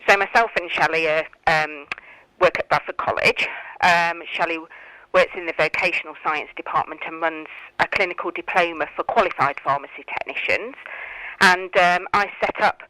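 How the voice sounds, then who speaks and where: British woman explaining stuff in a radio interview, bright clear-cut voice, really dry sound. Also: on the low side, no echo, rough recording. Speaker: interview guest, college tutor.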